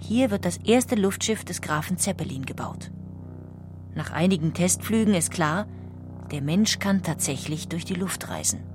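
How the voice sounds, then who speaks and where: A woman narrating a history audiobook in a calm, even-paced reading style. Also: lowish voice, moderate pace, over music. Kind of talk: scripted documentary narration.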